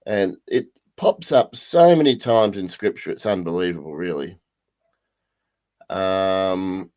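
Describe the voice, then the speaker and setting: A male pastor with a croaky voice leading a livestreamed Bible study, halting with many ums and restarts. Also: sits low.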